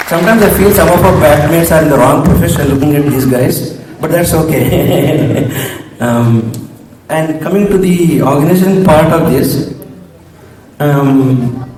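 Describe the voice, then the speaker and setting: A man in his forties from coastal Andhra Pradesh with an Indian English accent, slightly hoarse, giving a hesitant formal vote of thanks at an alumni reunion. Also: mid-range voice, background noise. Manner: hesitant, many ums and restarts. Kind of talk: formal public speech with pauses. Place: large hall. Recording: echoey hall mic from far off.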